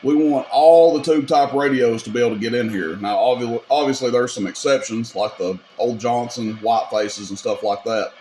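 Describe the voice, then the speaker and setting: A man's voice: mid-range voice, normal speed, few pauses, mellow, a little hiss or hum, poor audio. A man giving a casual hands-on equipment demonstration, explaining things step by step in an informal, folksy way. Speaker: radio repair video host demonstrating gear.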